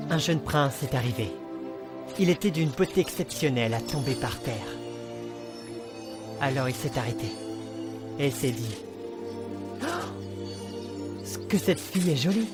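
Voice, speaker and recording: Loud music surrounds a man acting as an excitable, romantic fantasy suitor in an amateur dub. His voice sits fairly high, and he tells his tale tenderly and theatrically. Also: unhurried, pauses often.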